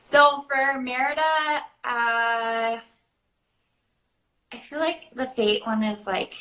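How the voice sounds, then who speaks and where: A woman's voice: a little high, takes it slowly, sharp tone. A young woman in casual conversation as a guest performer, talking in a hesitant, self-interrupting way. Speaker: princess party performer, guest. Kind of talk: casual chat. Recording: roomy, echoing sound.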